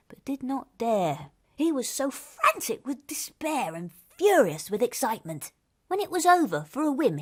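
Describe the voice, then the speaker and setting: Woman as male phantom, high-pitched, very animated, theatrical, mocking, mischievous, fussy, comic storybook verse. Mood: amused, animated. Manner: theatrical, full of chuckles. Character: chatty, mischievous, mocking male ghost, fussy about haunting rules.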